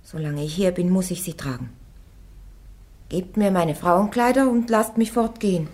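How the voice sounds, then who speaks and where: A woman's voice: fairly low pitch, normal speed, darkish tone, close to an everyday voice. An actress playing a steadfast young woman on trial in a radio play, plain-spoken, resolute and earnest. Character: young Joan of Arc on trial, steadfast and defiant. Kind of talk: German radio play acting.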